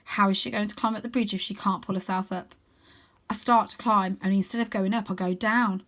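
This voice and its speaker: A woman reading a children's book aloud as an expressive narrator. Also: mid-range voice, a little fast. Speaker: storybook reader.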